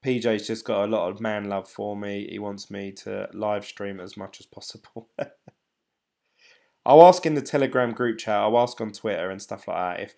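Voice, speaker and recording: A British man in his thirties, a channel host, chatting casually and hesitantly to viewers on a late-night live stream, tired and a little croaky. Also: fairly low pitch, a little mellow. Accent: British English. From United Kingdom. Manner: rambling, hesitant, many ums and restarts. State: tired, voice getting croaky and dry. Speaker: crypto channel host reading live chat.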